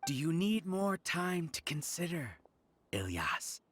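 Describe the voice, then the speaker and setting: A man's voice: fairly high pitch, sing-song, slow, noisy background. A man playing a polite, condescending, persuasive male scholar villain in a video game. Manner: polite, persuasive, self-justifying.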